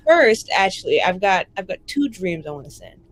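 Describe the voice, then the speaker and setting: A woman's voice: mid-range voice, big pitch swings, moderate pace, dry recording, low-quality recording. A woman playing a tabletop roleplaying game, talking casually and improvising in a giggly, rambling, playful way.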